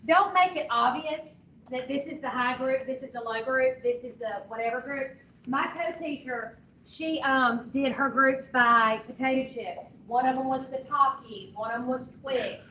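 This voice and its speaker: A woman with a loud voice leading an informal training session as a teacher, giving directions conversationally and repeating short phrases for emphasis. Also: high voice, moderate pace. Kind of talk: informal classroom instruction. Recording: dull, noisy, distant room recording.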